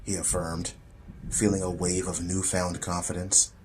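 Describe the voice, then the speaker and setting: Low-voiced male dramatic audiobook narrator. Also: flat delivery, measured pace, background noise. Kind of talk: scripted dramatic story narration.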